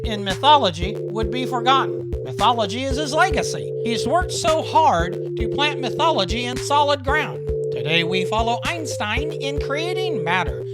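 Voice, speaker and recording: A woman narrating a scripted audio drama in a deliberate storytelling manner. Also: a little high, expressive. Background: music.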